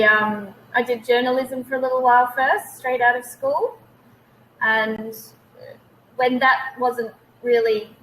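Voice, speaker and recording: A woman's voice: fairly high pitch, fairly bright tone, slight background noise, rough recording. An Australian-accented woman in her thirties, a guest telling her own story casually and a little hesitantly over a video call. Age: 30s. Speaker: interview guest, naturopath.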